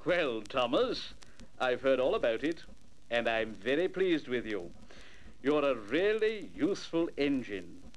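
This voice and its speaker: A man's voice: mid-range voice, big pitch swings, measured pace. A man voicing a portly, authoritative, approving male railway director in a storybook, speaking emphatically. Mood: pleased. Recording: noisy old storybook LP.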